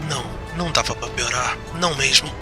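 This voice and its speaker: Homem interpretando jovem escravo sarcástico e apavorado. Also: male voice, medium pitch, brisk, loud music behind the voice, lightly acted. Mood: sarcástico, nervoso. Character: young male slave, panicky and sarcastic survivor. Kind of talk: audio drama inner monologue.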